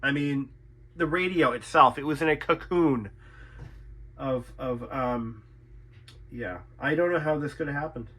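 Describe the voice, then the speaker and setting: Bright-voiced man thinking aloud over a repair. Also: medium pitch, a little slow, long pauses, breathy edge. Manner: thinking aloud, repeating himself, mild swearing. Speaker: radio repair technician and channel host. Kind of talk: unscripted talk while inspecting and repairing.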